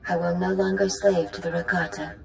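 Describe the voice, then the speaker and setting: An ancient machine mother speaks with stately maternal pride and quiet menace. The voice is low for a woman, with a creaky grain. It rings in a roomy game-world space over faint rumbling noise. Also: level tone, fairly quick. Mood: tender, imperious. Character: ancient godlike machine mother, tender, proud, quietly vengeful. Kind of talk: dramatic video game acting.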